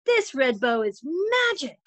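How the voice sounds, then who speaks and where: A woman's voice: sits high, big pitch swings, slow, dry recording, voice pushed up, exaggerated voice. A woman voicing a shy, sweet young girl in a storybook, earnest and full of wonder. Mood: happy wonder. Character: shy young girl, lonely, then gleeful and grateful.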